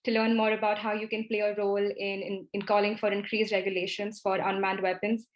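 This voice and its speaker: A woman moderating a webinar, giving formal remarks in a polite, measured way. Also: medium pitch, little pitch movement, lo-fi sound.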